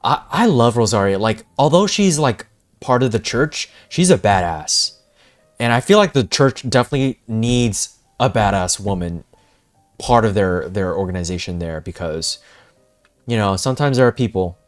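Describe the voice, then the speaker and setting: A man giving casual, slangy, expressive live reaction commentary as a game streamer. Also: on the low side, moderate pace. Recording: game music behind the voice.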